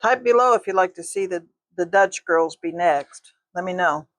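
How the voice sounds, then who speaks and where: A woman's voice: on the low side, moderate pace, no room sound. An older woman teaching a quilting tutorial, chatting with her viewers while she sews. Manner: folksy, talks to viewers while sewing.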